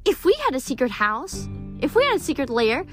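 A woman's voice: high voice, big pitch swings, fairly quick, a bit bright, soft background music, voice pushed up. A woman voicing a manic, boastful little male dwarf trickster in a storybook reading. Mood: excited. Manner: boastful, hyper, teasing.

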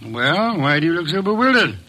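An actor playing a ponderous, fussy genius detective in a 1940s radio drama, speaking formally and precisely with a gravelly voice. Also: male voice, a little high, sing-song, fairly quick, noisy background. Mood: testy. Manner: pompous, formal, precise diction.

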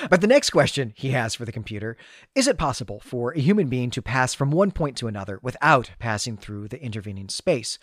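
Guy podcast host talking through a story, mid pitch, analytical but chatty, really dry sound. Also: fairly bright tone, no echo. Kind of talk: conversational literary discussion and story recap.

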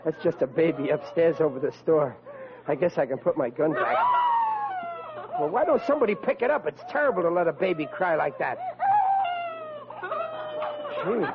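A man's voice: a little high, lively intonation, normal speed, pauses often, over a noisy crowd. An actor playing a bumbling, good-hearted young policeman with folksy blue-collar speech in a vintage radio comedy. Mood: worried, muttering. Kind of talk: 1940s radio comedy acting. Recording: noisy, muffled vintage radio recording.

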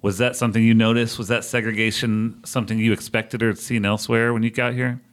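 A man's voice: fairly low pitch, little pitch movement, fast. A man hosting an interview, asking long, roundabout questions in a casual conversational way. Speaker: interviewer, host.

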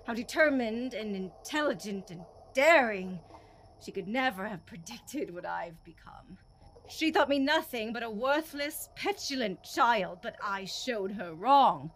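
High-voiced, haughty, cruel empress. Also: female voice, expressive, unhurried, fairly bright tone, heavily acted. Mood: proud, bitter. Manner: imperious, scornful, theatrical. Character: cruel, haughty empress, bitter toward her step-sister. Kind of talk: audio drama acting.

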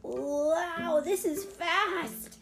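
Lady doing an excitable little boy in a kids story, really high, eager, music behind. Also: slow pace, exaggerated voice. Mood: alarmed. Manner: eager, childlike.

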